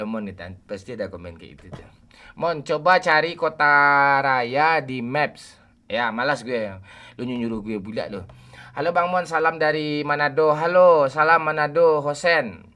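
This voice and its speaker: A man's voice: medium pitch, normal speed, pushed and bright. A man in his forties on a game livestream, greeting viewers by name and joking with the chat. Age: 40s. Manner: joking, teasing banter with chat. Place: home room at night.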